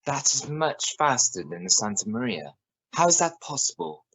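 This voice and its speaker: Measured, serious radio news anchor, played by a man. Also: mid-range voice, very animated, a bit bright, husky, low-quality recording. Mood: probing, serious. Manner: formal, measured, probing. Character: serious, probing male evening news reporter of the future. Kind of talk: scripted radio news broadcast in a drama.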